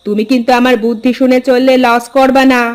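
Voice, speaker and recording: A woman's voice: high-pitched, little pitch movement, quick pace, darkish tone, faint music behind, natural voice. A woman playing a scheming, easily hurt village wife in a cartoon, with a plaintive, coaxing manner. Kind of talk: cartoon moral-tale acting.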